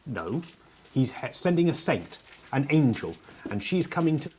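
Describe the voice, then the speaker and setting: A man's voice: mid-range voice, sing-song, measured pace, a little mellow, slight background noise. A man acting a young uncrowned king in a radio play, whining, sarcastic and stubborn. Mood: mocking. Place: actor's home, recorded remotely.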